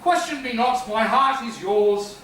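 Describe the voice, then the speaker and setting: A man's voice: very high-pitched, expressive, unhurried, fairly bright tone, slight background noise, heavily acted. A man playing a scheming, cowardly young hustler under his mother's thumb, talking theatrically. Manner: theatrical, fretful, sings comic patter. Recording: echoey room from far off, music.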